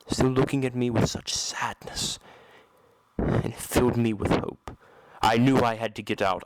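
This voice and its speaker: A man playing a tormented, embittered masked opera recluse in an audio drama, telling his story in a slow, solemn, dramatic way. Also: mid-range voice, no room sound, natural voice, low-quality recording. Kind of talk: amateur audio drama acting.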